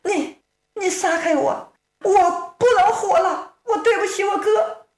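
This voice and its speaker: A woman voicing a distraught young woman grieving her brother, shrill and stammering through sobs. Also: a little slow. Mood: anguished. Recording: roomy echo.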